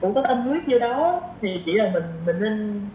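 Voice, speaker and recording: A woman's voice: mid-range voice, sing-song, moderate pace. A woman with a southern Vietnamese accent talking casually as a podcast guest, explaining things in a chatty way with everyday examples. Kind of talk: casual conversational interview. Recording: echoey room, muffled, faint noise.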